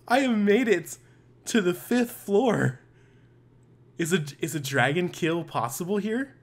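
A man giving let's-play commentary, thinking aloud and bursting into excited exclamations. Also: high-pitched, slow pace. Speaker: gaming commentator. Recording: game music under the voice. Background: game music.

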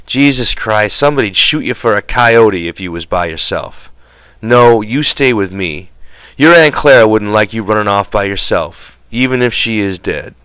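A man's voice: on the low side, lively intonation, normal speed, a bit bright, clear, resonant tone, dry recording, low-quality recording. A man voicing an impatient but protective migrant ranch hand in an audiobook, with blunt, folksy rural speech. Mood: conciliatory.

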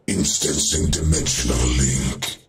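Very deep, gravelly robotic computer villain. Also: male voice, steady tone, soft background music, exaggerated voice. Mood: commanding. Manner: menacing, grandiose, slow declamation. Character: menacing male sentient computer virus, grandiose and sinister. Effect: robotic vocoder filter. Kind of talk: video game voice lines.

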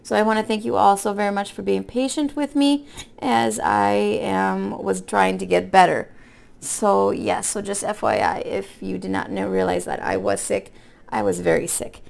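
A woman with a hoarse voice from being sick, chatting casually in a folksy, rambling way as a craft vlogger. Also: mid-range voice, moderate pace, darkish tone. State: hoarse from illness with a cough. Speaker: craft vlog host. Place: indoor room at a table.

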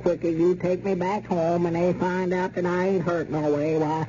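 A man's voice: high-pitched, little pitch movement, moderate pace, mellow, natural voice. An actor playing a dim-witted, kindly old backwoods man with a rural Southern American accent, folksy and befuddled, in an old radio comedy. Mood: worried, pleading. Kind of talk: 1930s-40s radio comedy acting. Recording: dull, noisy old radio recording.

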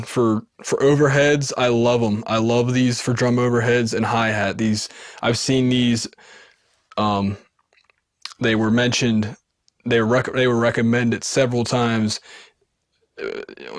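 A man's voice: mid-range voice, no room sound, studio quality. A man in his thirties with a sibilant voice, casually showing his gear to the camera in a small wood-paneled room, often restarting his sentences. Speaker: home recording video host. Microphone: studio dynamic mic off camera.

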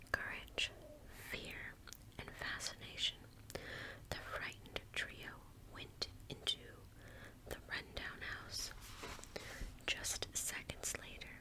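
A woman in her thirties gently reading a story in an ASMR style, whispering close to the mic with careful, deliberate phrasing. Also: rough recording. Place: small indoor space in front of a curtain.

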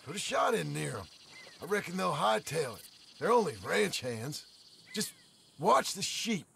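Rough western game cowboy, plain-spoken, gravelly, high lively pitch, outdoors. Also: male voice, a little slow, exaggerated voice. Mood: matter-of-fact. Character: practical rough male cowboy outlaw. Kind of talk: naturalistic video game acting. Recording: very noisy outdoors, insects and clicks.

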